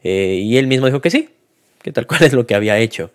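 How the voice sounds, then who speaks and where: A man's voice: medium pitch, lively intonation, fast talker, smooth. A man giving a casual spoken film review, hesitant, with many fillers and restarts.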